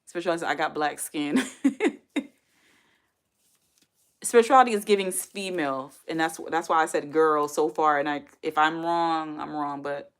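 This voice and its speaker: A woman's voice: low-pitched, moderate pace, smooth. A woman in her forties hosting a casual livestream from home, reading viewer comments aloud and chatting back with frequent laughs. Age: 40s. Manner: chatty, talks straight to chat, laughs often. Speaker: livestream host.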